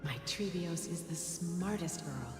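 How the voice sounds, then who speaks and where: An actress playing a gentle, loving mother and priestess, speaking softly and tenderly in a video game. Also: on the low side, unhurried, lightly acted. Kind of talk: video game cutscene acting. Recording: game audio under loud music. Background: loud music.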